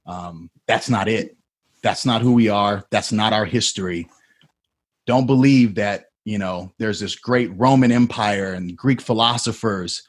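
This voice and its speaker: A man on a podcast panel speaking earnestly and with emphasis, with a husky voice. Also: fairly low pitch. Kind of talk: panel discussion.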